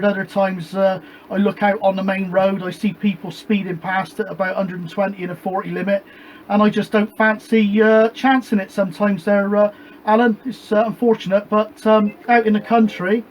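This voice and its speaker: A British man with a fairly high voice talks easily and at length on a CB net. He wanders from point to point before handing the turn back. Faint noise sits under his voice. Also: low-quality recording. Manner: rambling and chatty, ends each turn with "back to you". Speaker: CB radio operator and show host. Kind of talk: casual radio chat on a CB net. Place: home shed radio room with a gas fire.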